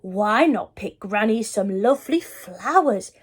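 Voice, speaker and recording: A woman's voice: mid-range voice, animated, a little slow, husky. A woman voicing a big, hungry, scheming male wolf in a storybook reading, growling and sly.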